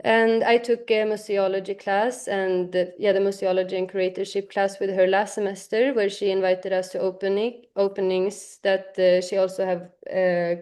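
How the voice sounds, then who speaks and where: A woman student talking about her professors at a university event, with many pauses and fillers. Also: mid-range voice, mellow. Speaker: student speaker.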